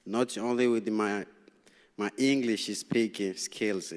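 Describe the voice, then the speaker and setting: A young man from Tanzania, Swahili his first language, reads a prepared speech to a school committee. His voice sits fairly low and sounds smooth and clean, though he stumbles and restarts lines nervously. The sound rings with the long reverb of a council chamber. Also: steady tone, takes it slowly. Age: young adult. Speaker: student representative. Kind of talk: reading a prepared speech at a public meeting. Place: large city hall meeting room.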